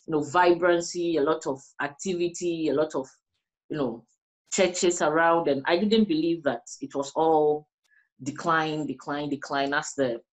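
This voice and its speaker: A middle-aged woman from Ghana with a West African accent, explaining her research as an interviewee in measured phrases with pauses. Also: low-pitched, poor audio. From Ghana, also grew up in the Gambia. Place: small indoor room, plain wall.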